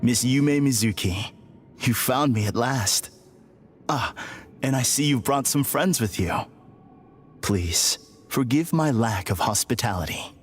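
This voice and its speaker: An actor playing an eerily calm, condescending villain in a video game, speaking in a formal, courteous manner. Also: male voice, mid-range voice, a little slow, long pauses, breathy edge. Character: eerily calm, polite male villain, condescending and self-deluded. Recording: game music under the voice.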